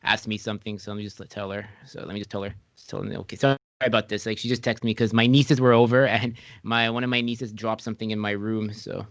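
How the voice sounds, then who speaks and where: A man's voice: lowish voice, steady tone, quick pace, few pauses, dry recording. A man hosting a podcast interview, chatty and rambling as he asks his questions. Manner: chatty and rambling, with many fillers and restarts. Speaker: podcast host. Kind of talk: casual interview.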